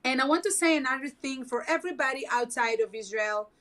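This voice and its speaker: A woman whose first language is Hebrew, speaking earnestly on a casual video chat in halting non-native English. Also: sits high, expressive, a bit bright. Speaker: guest.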